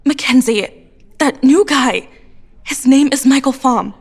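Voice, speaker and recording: A woman playing a troubled, anxious teenage high school girl, speaking hesitantly and earnestly in an audio drama. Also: measured pace, breathy edge, full character voice. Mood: distressed. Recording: music under the voice. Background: music.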